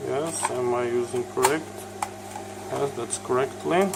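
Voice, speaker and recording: A man presents a hands-on repair tutorial at a very slow pace. His phrasing is halting and non-native as he explains each step. His pitch is average, and a clear background hum runs under the voice. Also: fairly even intonation. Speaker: electronics repair video host.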